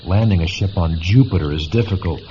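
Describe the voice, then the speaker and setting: A man playing a matter-of-fact space station chief in a science fiction radio drama, measured and explanatory with dry humor. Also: deep voice, dark tone, a little hiss or hum, lightly acted. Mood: grave, matter-of-fact. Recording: muffled lo-fi audio with music behind.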